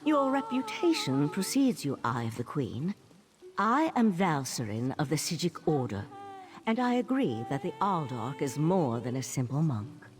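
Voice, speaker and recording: A woman playing a composed elven mage in a video game, earnest and wary, with a measured, formal way of talking. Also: sits low, sing-song, soft background music. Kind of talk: video game character acting.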